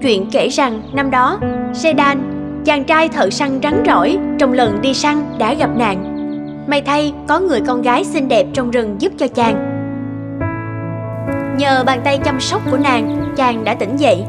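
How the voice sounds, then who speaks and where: A woman's voice: sits high, measured pace. Woman narrating a fairy tale over music.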